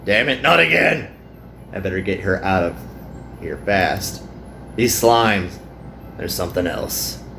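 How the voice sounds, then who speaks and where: A man's voice: low-pitched, animated, takes it slowly, gravelly, pauses often, background noise, exaggerated voice. A man voicing a weary, self-deprecating young male worker in a visual novel. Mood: alarmed.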